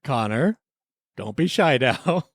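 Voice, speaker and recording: A man's voice: mid-range voice, animated, takes it slowly, no echo. A man hosting a podcast with casual, comic banter in a jokey, pleading manner. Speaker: podcast host.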